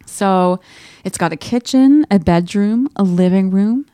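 A woman co-hosting a podcast, chatting casually and reading aloud from a diary in an expressive, playful way. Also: medium pitch, a little slow, dry recording, studio quality. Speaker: podcast co-host.

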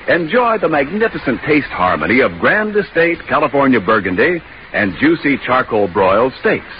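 A dull, noisy old radio recording carries an American man delivering a 1940s commercial. His voice sits a little high and swings widely in pitch, with a polished and emphatic selling manner. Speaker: commercial announcer. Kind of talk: 1940s radio advertising read.

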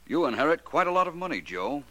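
An actor in a 1940s radio drama playing a suave, unflappable detective, polite, urbane and dryly knowing. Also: male voice, a little high, very animated, quick pace, a bit bright, no room sound.

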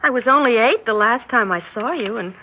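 An actress playing a frank, irreverent eighteen-year-old daughter in a 1960s radio drama, with a breezy manner. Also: female voice, fairly high pitch, lively intonation, a little fast. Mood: frank, hesitant. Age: young adult. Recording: dull 1960s radio recording.

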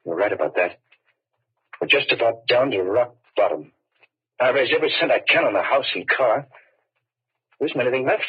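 A man's voice: mid-range voice, animated, moderate pace, long pauses, slightly rough. An actor playing an earnest, desperate young father out of work, polite and eager, in 1930s radio drama. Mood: weary, worried. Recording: narrow, tinny old radio recording.

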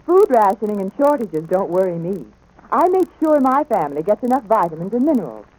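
An actress playing a sensible, untroubled wartime homemaker giving an assured testimonial in a 1940s radio commercial. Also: female voice, medium pitch, sing-song, brisk, darkish tone, poor audio. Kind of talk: scripted 1940s radio advertising.

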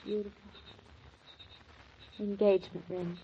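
An actress in a 1940s radio drama playing a playful, tender young newlywed wife, with a bright, teasing and dreamy way of talking on an old transcription. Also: female voice, mid-range voice, level tone, measured pace, lo-fi sound, a little hiss or hum, close to an everyday voice. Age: young adult.

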